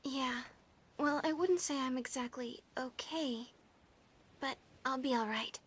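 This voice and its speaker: A woman playing a girlish, expressive, plucky young crew member in a video game. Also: high-pitched, a little slow, slightly rough. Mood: subdued, thoughtful. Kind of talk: dramatic video game acting.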